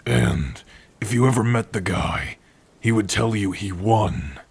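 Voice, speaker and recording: A man playing a gentle, proud orc warrior and blacksmith in an intimate ASMR roleplay, speaking tenderly in a husky, breathy voice with long pauses. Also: on the low side, animated, full character voice. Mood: fond, reminiscing. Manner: slow, tender, measured delivery with long pauses. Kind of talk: ASMR roleplay, intimate second-person acting.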